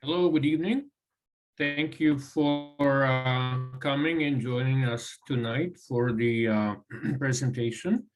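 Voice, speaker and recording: The voice is a man with a Croatian accent, speaking as a guest presenter over a video call. His pitch sits mid-range for a man, and he talks in a halting way, filling gaps with ums and uhs. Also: faint music behind, poor audio. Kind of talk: online presentation.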